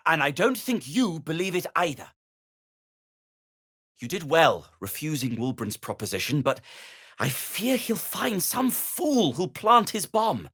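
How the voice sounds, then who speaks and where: Earnest gnome, video game dialogue, moralizing, articulate, emphatic, unhurried pace, very animated pitch. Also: male voice, full character voice. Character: earnest, principled male gnome, pleading and moralizing.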